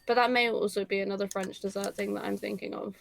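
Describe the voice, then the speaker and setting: A woman's voice: mid-range voice, little pitch movement, fast, creaky, a little hiss or hum. A young woman with an English RP accent, streaming a game and chatting casually, explaining things at length with plenty of ums. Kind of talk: casual gaming chat.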